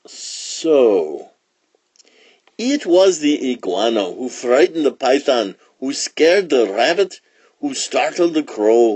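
A commanding lion king passing judgment in an animal folktale, acted by a male storyteller. He declaims slowly and grandly, with sweeping pitch. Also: darkish tone, slightly rough, no echo, rough recording. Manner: declamatory, deliberate, building through lists.

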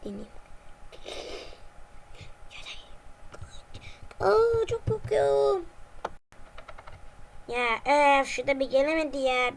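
Woman voicing a very high-pitched, whiny cartoon kid. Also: big pitch swings, unhurried, gravelly, heavily acted. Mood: nervous. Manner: colloquial, exclaiming, complaining. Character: excitable, whiny, easily scared young friend playing ball. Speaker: amateur cartoon voice performer. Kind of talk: improvised cartoon dialogue between friends. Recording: noisy amateur home recording.

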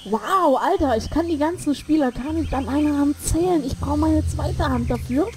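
A woman's voice: high voice, moderate pace, background noise. Rambling woman gamer, a bit sick. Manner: rambling, self-correcting, joking. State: a bit sick, short of breath. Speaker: gameplay streamer. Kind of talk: casual let's play commentary. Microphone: desk microphone in a new recording room. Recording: voice over loud game music.

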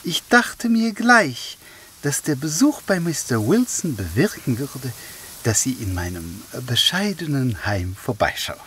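A man acting a smug, callous wealthy gentleman in a German audio drama, with affected, mock-courteous theatrical delivery. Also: mid-range voice, sing-song, measured pace, long reverb tail, slight background noise. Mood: smugly courteous.

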